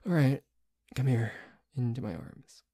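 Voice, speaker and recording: Tsundere boyfriend, acted by a man, ASMR roleplay: grumpy, possessive, halting sighs, unhurried low-set voice. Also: mellow, natural voice. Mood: softening, affectionate.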